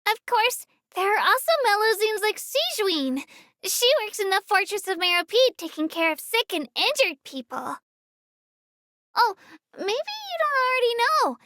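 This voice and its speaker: A woman's voice: very high-pitched, big pitch swings, a little slow, bright, forceful tone, no room sound, studio quality, exaggerated voice. A woman voicing a small, girlish creature tour guide in a game, earnest, polite and a little hesitant as she gives her commentary. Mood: friendly, explaining. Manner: polite, earnest, slightly hesitant, self-effacing.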